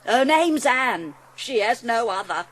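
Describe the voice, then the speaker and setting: A woman's voice: fairly high pitch, animated, takes it slowly. A woman playing a good-natured, humble baker in a dramatised storybook, chatty and deferential. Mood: kindly, deferential. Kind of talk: dramatised audiobook acting.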